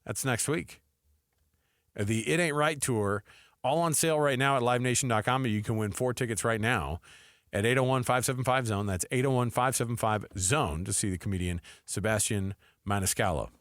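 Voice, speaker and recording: A middle-aged American man co-hosting sports talk radio with casual, animated, colloquial banter. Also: mid-range voice, normal speed, no echo, clean studio sound. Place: radio studio. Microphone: close studio microphone.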